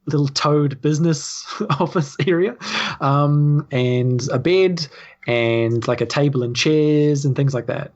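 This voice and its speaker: A woman's voice: low-pitched, takes it slowly, a little mellow, highs cut off. A woman improvising casual narration as the game master of a tabletop game.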